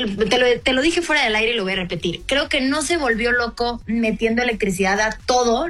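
A woman's voice: a little high, fairly bright tone. A woman, a car reviewer co-hosting a casual car talk show, who thinks aloud with many fillers and restarts.

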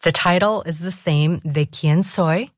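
A woman's voice: lowish voice, unhurried, no echo, studio quality. A middle-aged woman from South Korea, a missionary and author, sharing her faith story in an earnest, reflective way with frequent laughter. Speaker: interview guest, missionary author. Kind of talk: personal testimony in a faith interview.

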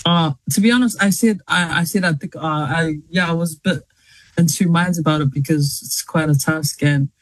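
A woman's voice: sits low, moderate pace, no room sound. Chatty New Zealand woman with a dry sound. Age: 30s. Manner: chatty, laughs often, many fillers and restarts. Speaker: journalist and interview guest. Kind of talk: casual interview chat.

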